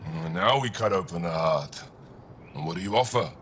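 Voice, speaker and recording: An actor playing a shrewd alien cargo trader in a video game, with blunt, clipped phrasing. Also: male voice, low-pitched, moderate pace, a bit bright, slight background noise. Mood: curious, probing. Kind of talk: video game dialogue acting.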